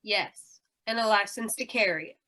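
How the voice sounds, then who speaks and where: A woman playing a tough, defensive carnival aunt in an old-time radio drama, blunt and sharp-tongued. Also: mid-range voice, moderate pace, natural voice. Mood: defiant, bitter.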